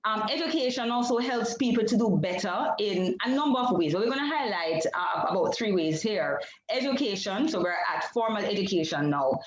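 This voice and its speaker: A Jamaican woman whose first language is Jamaican Creole, presenting her research over a video call in a measured, explanatory way, with frequent fillers and self-corrections. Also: mid-range voice, animated, reverberant, highs cut off, crowd noise. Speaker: PhD candidate presenting research. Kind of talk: academic seminar presentation.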